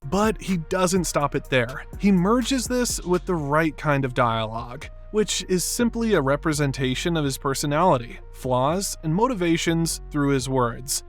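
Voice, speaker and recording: Male video essayist, somewhat high-pitched, reading scripted commentary over background music. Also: lively intonation, measured pace, hardly any pauses. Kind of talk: scripted narration, film analysis.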